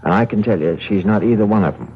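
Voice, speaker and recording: A worried, insistent farmer, acted by a man in a radio play. His deep voice is earnest and hesitates before pressing the point. The old recording is dull with faint noise. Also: fast talker, lightly acted. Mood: worried, urgent. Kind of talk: radio drama acting.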